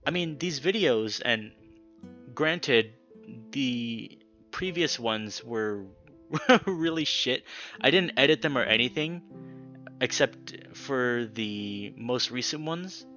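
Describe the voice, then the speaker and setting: A male let's play host talks casually and emphatically about a game, leaving dramatic pauses and swearing now and then. His voice is bright and forceful at a medium pitch. The mic sound is a little dull, with guitar music faintly behind him. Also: expressive.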